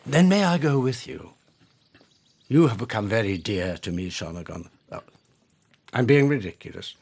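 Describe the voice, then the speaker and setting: Husky, lugubrious ageing lord. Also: male voice, on the low side, expressive, normal speed, a little mellow, exaggerated voice. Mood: worried, tender. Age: older. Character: lugubrious, fretful ageing lord, tenderly devoted. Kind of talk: radio drama acting.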